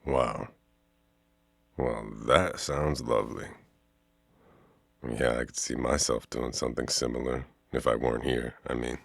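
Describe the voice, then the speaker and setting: Very deep, creaky-voiced flirty art professor played by a man. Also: fairly even intonation, dry recording, heavily acted. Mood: warm, flirty. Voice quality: very deep, gravelly.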